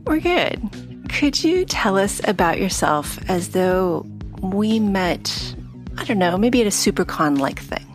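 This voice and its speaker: A woman's voice: medium pitch, big pitch swings, takes it slowly, faint music behind. A woman co-hosting a podcast, asking questions in a playful, easy-laughing way. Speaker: podcast co-host. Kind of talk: casual interview chat.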